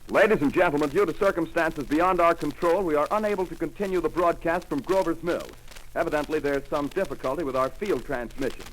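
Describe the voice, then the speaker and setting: An actor playing an adult male studio radio announcer, reading bulletins in a measured, formal 1930s way over a faintly hissy old broadcast. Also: on the high side, natural voice, low-quality recording. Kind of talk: 1930s radio drama acting.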